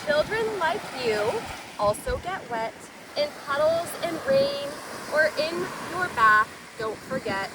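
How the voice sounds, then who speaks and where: A woman reads a children's story in a high, lively voice with wide swings in pitch. She takes her time and explains things simply for little listeners. The mic sounds a little far from her. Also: slow. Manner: expressive, explains words simply to young listeners. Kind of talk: read-aloud of a children's picture book with asides. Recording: distant mic, noisy surf-like outdoor ambience.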